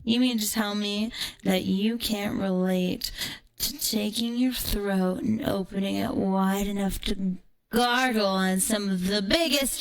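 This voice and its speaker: A woman's voice: a little high, fairly bright tone, rough recording. A woman streamer chatting casually and ranting in a brash, profane, sarcastic way. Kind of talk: casual livestream chat with ranting storytelling.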